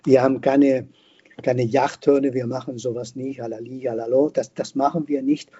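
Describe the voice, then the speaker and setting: Older man, very dark smooth-edged foreign accent. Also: medium pitch, fairly even intonation, highs cut off. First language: not German; a non-native speaker of German. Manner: halting, repeats words while searching for phrasing. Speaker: podcast guest, veterinarian and hunter. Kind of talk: reflective interview talk.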